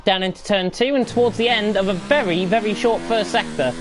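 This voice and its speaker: A woman commentating live on an online racing league, croaky with a flu and rambling with frequent self-corrections. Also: medium pitch, brisk, background noise. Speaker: race commentator.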